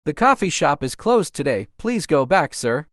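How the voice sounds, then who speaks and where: A man playing a gentle, teasing young coffee shop owner in a romance comic dub. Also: on the high side, big pitch swings, moderate pace, no echo, studio quality. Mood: polite, firm.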